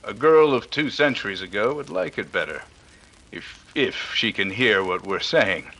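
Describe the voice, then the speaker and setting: A man playing a calm, dryly witty detective with an air of authority in a 1930s radio drama. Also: on the low side, moderate pace, creaky, full character voice, low-quality recording. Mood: wry.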